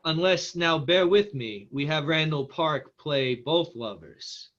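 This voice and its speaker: A man playing a smooth, agreeable film producer in a table read. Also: fairly high pitch, expressive, slow pace, fairly bright tone. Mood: earnest. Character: smooth, agreeable male producer pushing diversity casting. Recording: slightly dull, lo-fi audio.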